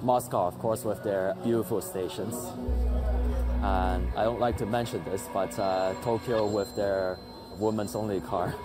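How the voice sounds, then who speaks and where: A man, speaking English in a thoughtful documentary interview with some hesitant pauses. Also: fairly low pitch, fairly even intonation. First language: Cantonese. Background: background music.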